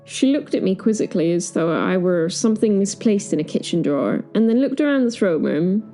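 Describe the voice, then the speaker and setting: A woman's voice: medium pitch, expressive, fairly quick, mellow, soft background music, close to an everyday voice. A woman playing a flustered, dry-witted reluctant caretaker of Hell, narrating an audio drama in the first person in a wry, understated, digressive way. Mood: bemused, wry.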